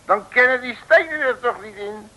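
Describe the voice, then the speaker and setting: An actor playing a blustering, muddle-headed detective who grumbles bossily in an old radio comedy serial. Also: male voice, very high voice, lively intonation, moderate pace, sharp tone, full character voice, rough recording. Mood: exasperated. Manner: bossy, colourful grumbling. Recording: vintage mid-century radio recording.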